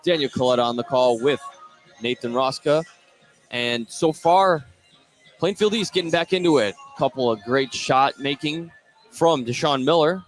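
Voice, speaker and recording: A man doing live basketball play-by-play as the announcer, energetic and lifting his voice on scoring plays. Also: medium pitch, normal speed, soft background music. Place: indoor gym at basketball tournament. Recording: noisy gym ambience behind voice. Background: gym ambience.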